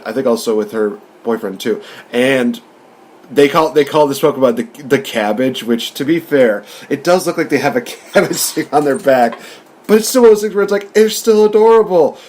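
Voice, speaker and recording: A man's voice: mid-range voice, darkish tone. A man co-hosting a podcast, casually recapping a film and commenting as the story goes. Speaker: podcast co-host. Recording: faint background ambient noise.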